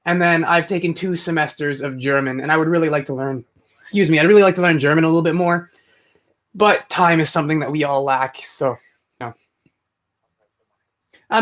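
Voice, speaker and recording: A man's voice: on the high side, a bit bright. A young man hosting a casual live stream, talking to viewers in a rambling, chatty way with lots of fillers and restarts. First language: English. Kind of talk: casual live commentary chat.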